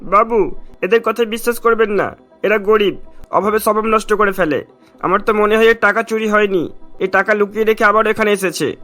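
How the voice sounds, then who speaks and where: A man's voice: sits high, brisk, bright, forceful tone, faint music behind. A man voicing a sly estate manager in a cartoon, fawning to his master and insinuating in manner. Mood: sly, accusing. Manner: ingratiating, insinuating. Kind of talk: Bengali cartoon story dialogue.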